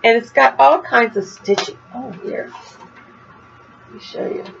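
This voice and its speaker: A woman's voice: fairly high pitch, unhurried, vocal fry, muffled recording, faint music behind. An older woman from Florida chatting casually to her viewers as a crafting vlogger, rambling a little, with a stuffy nose and a slight cough. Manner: chatty, rambling, laughs at herself. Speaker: crochet vlogger. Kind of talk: casual chat to camera.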